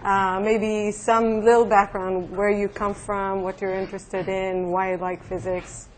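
A woman's voice: medium pitch, level tone, measured pace. Young woman teaching physics, lots of ums, echoey room with noise behind. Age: young adult. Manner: hesitant, many fillers, friendly. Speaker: physics lecturer. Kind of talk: university lecture with class introductions. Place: lecture room. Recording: echoey classroom with loud background noise.

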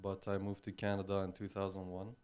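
A man from Iran introduces himself in a halting way, full of fillers. His voice is low, flat and smooth. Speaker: new graduate student.

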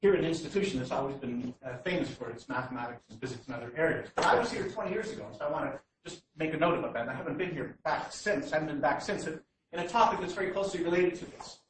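A man's voice: a little high, lively intonation, fast talker, far from the mic. A Canadian man giving a public lecture without slides, talking hesitantly with many fillers and restarts. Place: large hall. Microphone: distant room mic. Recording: very echoey hall, heard from afar, faint noise.

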